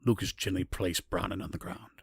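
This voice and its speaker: Deep, gravelly man as fantasy storyteller. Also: level tone, a little fast, fairly bright tone, dry recording, studio quality, full character voice. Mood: solemn. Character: solemn male storyteller of a dark fantasy tale. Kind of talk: scripted narration.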